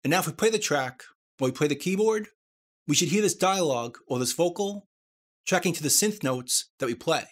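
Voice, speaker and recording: Man narrating a software tutorial. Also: on the high side, animated, fairly quick. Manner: step-by-step, explanatory. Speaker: tutorial presenter.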